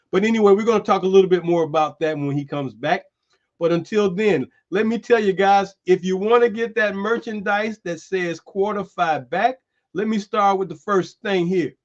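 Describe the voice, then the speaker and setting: Guy hosting a stream, slightly high voice, keeps saying man, kinda muffled sound. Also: normal speed. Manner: loose and chatty, says "man" a lot. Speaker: host. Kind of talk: casual chat and merchandise pitch. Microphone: streaming setup.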